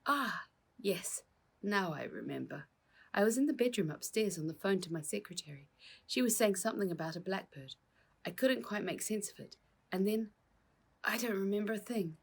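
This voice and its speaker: A woman reading the part of a battered, genial, gentlemanly uncle coming round in a children's storybook. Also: mid-range voice, lively intonation, normal speed, gravelly, breathy edge, voice pushed up. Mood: delighted, recollecting.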